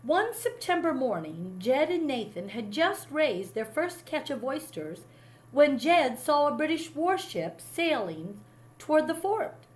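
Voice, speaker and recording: A woman's voice: sits high, very animated, unhurried, poor audio. A woman reading a children's story aloud like a teacher, with dramatic emphasis on key moments. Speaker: teacher reading a picture book to a class. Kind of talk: expressive read-aloud storytelling.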